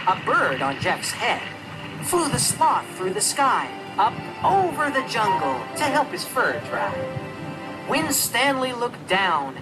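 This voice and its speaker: A children's storyteller reads rhyming verse with a very lively, swooping pitch. The delivery is slow and measured, shaped like a picture-book reading. Loud music plays throughout beneath the narration. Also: low-quality recording.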